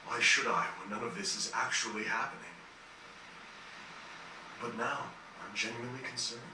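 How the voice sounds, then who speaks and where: A man playing a gentle, melancholy dying composer in a fantasy game, speaking in a reflective, earnest, measured way with formal phrasing. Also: medium pitch, steady tone, natural voice. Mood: wondering, reflective. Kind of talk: dramatic game cutscene dialogue. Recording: distant, echoey, noisy game capture.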